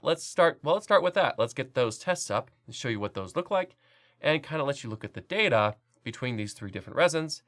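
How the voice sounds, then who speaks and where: A man's voice: fairly low pitch, a bit bright. A man hosting a casual product review while congested with a cold, his voice nasal, chatty and loose with fillers and restarts. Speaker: 3D printing channel host.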